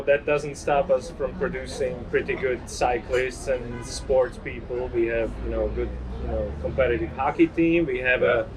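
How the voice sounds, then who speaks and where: A man's voice: medium pitch, rough recording. Friendly man from Slovakia, chatty guest. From Eastern Slovakia. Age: 40s. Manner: friendly, full of "you know" fillers. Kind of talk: casual podcast conversation.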